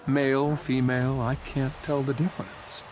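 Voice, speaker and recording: A man's voice: medium pitch, level tone, moderate pace, darkish tone, slight background noise, natural voice. A man reading aloud voicing a young woman soldier who argues her point insistently. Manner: insistent, argumentative.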